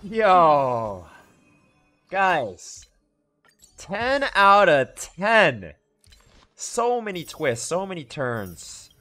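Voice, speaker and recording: A young man giving casual live reactions as a game streamer, excitable and slangy. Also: high-pitched, very slow, long pauses. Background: game music.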